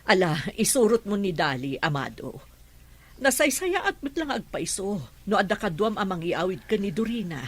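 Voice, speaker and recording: A woman playing the concerned, steady madam of a household in an Ilocano radio drama, with an Ilocano accent and earnest authority. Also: mid-range voice, normal speed. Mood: alarmed, urging. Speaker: voice actor in drama podcast. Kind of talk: emotional radio drama acting.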